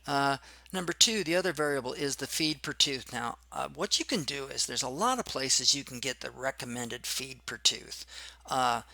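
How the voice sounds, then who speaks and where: Hoarse man with gravelly voice. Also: medium pitch, moderate pace, bright, forceful tone, no room sound. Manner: casual, rambling with many fillers. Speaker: machining instructor. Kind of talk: screen-recorded tutorial.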